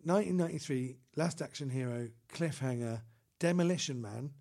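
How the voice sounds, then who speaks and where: A man's voice: medium pitch, fairly quick, mellow. A man co-hosting a comedy podcast, chatting casually about films in a rambling, enthusiastic way with lots of restarts. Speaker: podcast co-host.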